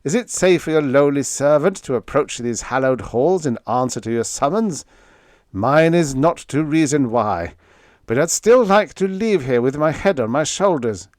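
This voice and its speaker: A man's voice: a little high, animated, moderate pace, no echo, clean studio sound, voice pushed up, close to an everyday voice. A man voicing a playful, boisterous young male lab assistant in an audiobook, with theatrical mock-grand delivery. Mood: mock-servile. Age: young adult. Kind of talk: audiobook character voice.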